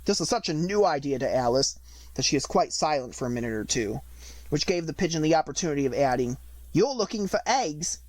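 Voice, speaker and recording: A woman reading a storybook aloud as an audiobook narrator, in an expressive scripted style. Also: rumbling low, fast talker, low-quality recording.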